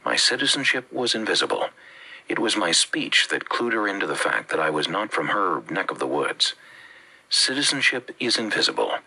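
A man from the Bahamas with a Bahamian accent, reading a religious teaching with measured, emphatic phrasing. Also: low-pitched, vocal fry, bright, forceful tone, husky, rough recording. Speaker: preacher. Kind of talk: read-aloud religious teaching.

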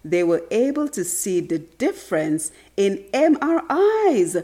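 Talk show host, woman, a bit hoarse and stuffy, animated pitch, talks directly to listeners. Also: measured pace. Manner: upbeat, earnest, speaks straight to listeners. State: congested, slightly raspy from allergies. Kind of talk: motivational talk show monologue.

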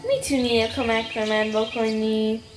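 A woman's voice: a little high, little pitch movement, background noise, close to an everyday voice. A woman playing a brave, clever little girl in a children's radio play, earnest and childlike. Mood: polite, crafty.

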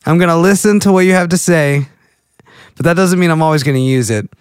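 A man's voice: fairly high pitch, a little fast, smooth, no room sound, clean studio sound. A man in his late twenties with a Texas accent, rambling goofily through a casual monologue as a podcast host. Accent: Texan. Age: late 20s. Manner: goofy, rambling, self-interrupting.

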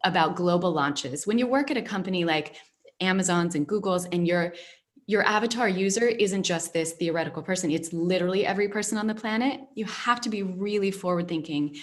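A woman hosting a casual interview, reflective and storytelling, with frequent pauses. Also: lowish voice. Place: based in Spain.

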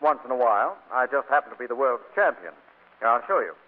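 Lively actor playing a boastful flirt of a bachelor, stammering and eager, vintage radio comedy, tinny sound. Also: male voice, medium pitch, fast talker, natural voice. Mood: flirtatious, boastful. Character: boastful, amorous bachelor neighbor, cheerfully bewildered. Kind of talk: 1940s radio comedy acting. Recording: tinny old radio recording.

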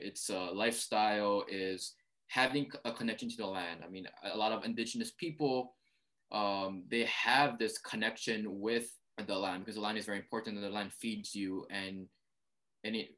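Earnest young man, low voice. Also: fairly bright tone. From Hawaii. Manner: earnest, explanatory, frequent fillers and restarts. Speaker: interview guest. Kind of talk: reflective conversation.

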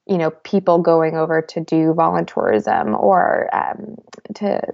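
A young woman in her twenties, a guest in an interview, talking thoughtfully with many pauses, fillers and restarts. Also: lowish voice, steady tone, vocal fry, dull audio. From United States. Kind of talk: reflective conversational interview.

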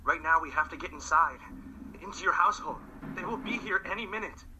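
A man's voice: a little high, fairly quick, muffled recording, noisy background, lightly acted. A man playing a mysterious young man who urgently pushes a girl to hide from danger, in an amateur radio drama. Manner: urgent, secretive, commanding.

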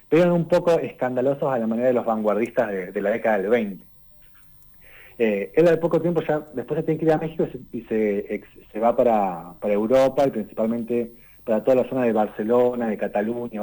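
A man, giving a conversational radio literature column and explaining things unhurriedly, often pausing on "eh" and tagging his sentences with "¿no?". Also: mid-range voice, dark tone, low-quality recording. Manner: unhurried and explanatory, tags lines with "¿no?" and "eh".

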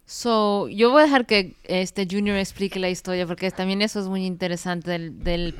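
A woman's voice: mid-range voice, fairly even intonation, normal speed, clear, resonant tone. A woman telling stories casually as a podcast guest, somewhat hesitant, with bits of English mixed in. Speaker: podcast co-host as interview guest. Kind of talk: casual conversational storytelling.

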